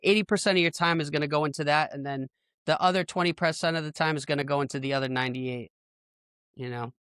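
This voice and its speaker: A man's voice: a little high, steady tone, quick pace, a bit bright, clean tone, dry recording, clean studio sound. A man hosting a podcast, talking casually and informally, with a self-deprecating manner and a lot of "like" in his phrasing. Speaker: podcast host. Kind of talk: casual conversational podcast talk.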